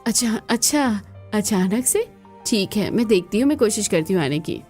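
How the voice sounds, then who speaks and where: A woman's voice: mid-range voice, animated, moderate pace, a little mellow, soft background music, close to an everyday voice. A woman voicing a kindly, trusting young mother and elder daughter-in-law in a family cartoon, affectionate and reassuring. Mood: hesitant. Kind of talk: Hindi family cartoon voice acting.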